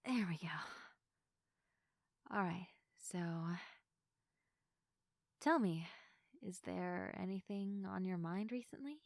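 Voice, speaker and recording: A young woman playing a sleepy, affectionate girlfriend in an intimate sleep-aid roleplay, speaking softly and tenderly to the listener in a giggly, hesitant way. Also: mid-range voice, expressive, very slow, long pauses, no echo, studio quality. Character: sleepy, affectionate girlfriend, gentle, playful and sincere. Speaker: audio roleplay performer.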